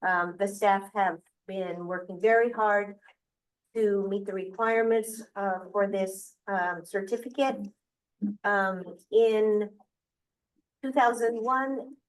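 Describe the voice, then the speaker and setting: A woman's voice: medium pitch, fairly even intonation, slow, distant mic, low-quality recording. A woman who is board president, chairing a public meeting in a district meeting room, speaking hesitantly with many ums and restarts.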